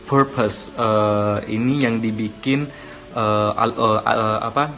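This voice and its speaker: A man's voice: lowish voice, fairly even intonation, takes it slowly, a bit bright. Rambling young man with music behind. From Aceh, Indonesia. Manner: rambling, many fillers and self-corrections, encouraging. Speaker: vlogger. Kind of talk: casual talk to camera.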